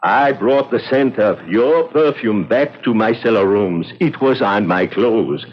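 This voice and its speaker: Desperate, husky confessing wretch. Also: male voice, fairly low pitch, measured pace. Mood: defensive, desperate. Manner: pleading, excitable, self-justifying. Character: desperate, guilt-ridden poor sailor confessing. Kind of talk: 1970s radio drama acting. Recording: dull, lo-fi old radio recording.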